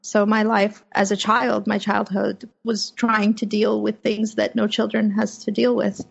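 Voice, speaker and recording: A woman from Afghanistan, a native Persian speaker, speaking as a journalist guest in a measured, reflective way from her home office. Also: medium pitch, level tone, creaky, slightly rough. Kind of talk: interview over remote link. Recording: muffled lo-fi remote audio.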